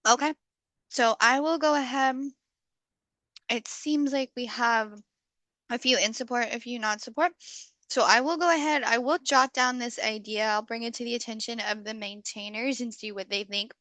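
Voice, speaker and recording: A woman hosting an online community call, friendly and full of okays, slightly hoarse as she loses her voice. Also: on the high side, moderate pace, sharp tone, no echo. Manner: friendly facilitator, many okays. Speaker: meeting host. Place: video call.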